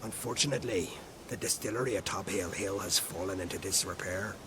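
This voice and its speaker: An actor playing a crotchety, haughty old druid in a video game, with an imperious, condescending manner and whispery phrase endings. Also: male voice, sits low, a little fast, noisy background, close to an everyday voice. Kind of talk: scripted video game acting.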